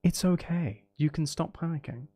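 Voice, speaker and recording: A man's voice: on the high side, normal speed, warm tone. A man chatting casually as he hosts a gaming livestream, with a playful, silly manner and a habit of repeating phrases. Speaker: livestream host playing a video game.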